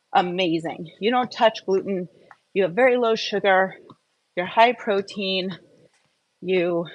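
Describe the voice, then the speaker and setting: A woman speaks in a medium-pitched voice as the host of a live online wellness talk. She explains informally, addressing her viewers directly. Her delivery is conversational, moving step by step and posing questions that she then answers. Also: poor audio.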